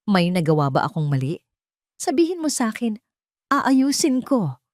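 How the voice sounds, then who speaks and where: A woman's voice: mid-range voice, sing-song, moderate pace, darkish tone, dry recording, studio quality, voice pushed up, lightly acted. A woman voicing a young pregnant wife who is heartbroken, tearful and pleading, in an audio drama. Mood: hurt, pleading.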